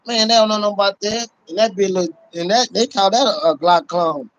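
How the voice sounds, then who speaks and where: A man's voice: high voice, fairly quick, dull audio. A middle-aged man talking casually about guns on a phone livestream from a small room at home, swearing and laughing as he goes. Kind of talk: casual chat. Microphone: phone held at arm's length. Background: fireworks outside.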